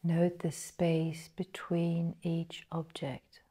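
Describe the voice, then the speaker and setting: A woman guiding a meditation in a soft, breathy, partly whispered voice, measured and full of pauses. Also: lowish voice, monotone. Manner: slow, measured, pausing between phrases. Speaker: meditation guide. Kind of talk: guided meditation narration.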